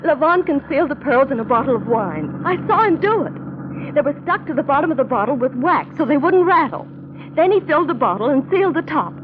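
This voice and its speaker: An actress playing a panicky, pleading young smuggler in a 1940s radio detective drama, halting and melodramatic. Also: female voice, high voice, sing-song. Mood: uneasy. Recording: muffled, noisy vintage radio recording.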